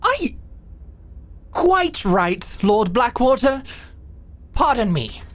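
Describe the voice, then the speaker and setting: A woman's voice: a little high, very animated, extremely slow, exaggerated voice. A woman playing a proud, short-tempered knight in a fantasy audio drama, with a formal, clipped and tightly controlled manner. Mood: stiffly deferential.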